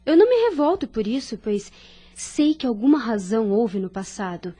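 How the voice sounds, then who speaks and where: A woman playing a kind, devout young nurse in an audio drama, with a Brazilian accent and a gentle, earnest manner. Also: fairly high pitch, expressive, moderate pace. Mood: warm, grateful.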